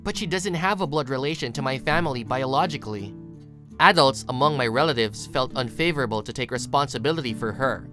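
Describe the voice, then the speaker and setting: A woman reading scripted story narration. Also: rumbling low. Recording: music behind the voice. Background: plucked guitar music.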